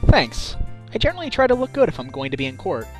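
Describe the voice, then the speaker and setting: An actor plays a good-natured defense lawyer in a video game fan dub. His voice is a little high and quite animated, over faint music. He is casual and wry. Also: quick pace. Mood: wry, musing.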